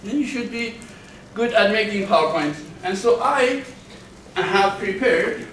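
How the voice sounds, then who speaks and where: A male university lecturer giving a classroom lecture, speaking slowly and deliberately with careful enunciation and frequent restarts. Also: sits high, animated, fairly bright tone. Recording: echoey classroom from far off, faint background noise.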